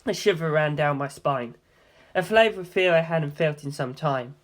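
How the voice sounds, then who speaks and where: A woman's voice: sits low, moderate pace. A woman reading scripted story narration in the first person. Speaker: audiobook reader.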